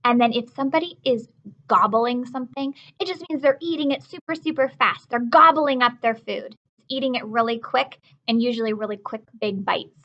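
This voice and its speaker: A woman's voice: on the high side, moderate pace, fairly bright tone, lo-fi sound. A woman teacher giving a read-aloud lesson for children, explaining words simply and repeating key points.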